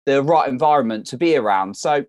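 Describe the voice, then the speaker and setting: UK-raised male guest, earnest coaching tone, encouraging, mid pitch, dry close sound. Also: animated, brisk, a little mellow, no echo. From United Kingdom. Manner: earnest, encouraging, repeats words while thinking. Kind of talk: casual interview advice.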